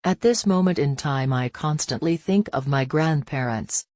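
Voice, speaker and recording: A computer-like voice reads a news interview word for word at an even, unhurried pace, saying aloud who is asking and who is answering. The pitch sits in a middle range. The recording is close and dry, with no room sound around it. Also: no room sound. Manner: even, unhurried read-out, speaking the interviewer and guest labels aloud. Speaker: news reader.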